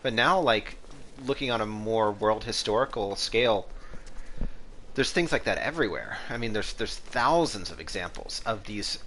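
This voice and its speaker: Male streamer, lowish voice, loose rambling delivery full of "like" and "um", steady hiss under the mic. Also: moderate pace, poor audio. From Texas, USA. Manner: rambling, filler-heavy, thinks aloud. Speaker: Egyptologist streamer. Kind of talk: casual gaming commentary with history asides. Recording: steady background noise.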